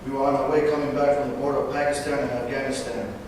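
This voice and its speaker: A man in his twenties from Northern California, a veteran giving a personal talk, halting at times with fillers and self-corrections. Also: medium pitch, flat delivery, a little mellow. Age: 20s. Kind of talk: personal speech read to an audience. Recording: distant, echoey hall recording with background noise.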